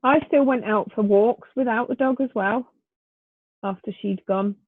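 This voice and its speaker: A woman's voice: a little high, unhurried, breathy edge, no echo. Tired, stuffy Northern English woman, tinny line. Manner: weary, short replies. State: tired, congested. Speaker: co-host. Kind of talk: casual chat. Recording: dry tinny phone-like line.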